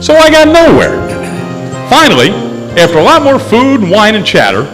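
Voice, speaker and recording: A man's voice: high-pitched, huge pitch swings, normal speed. A man playing a skeptical, wry investigator in old-time radio style, both narrating his case and pressing others for answers. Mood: wry narration. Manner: wry, insistent. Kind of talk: 1940s-50s radio detective acting on stage. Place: live stage in a museum courthouse. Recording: echoey hall, music behind.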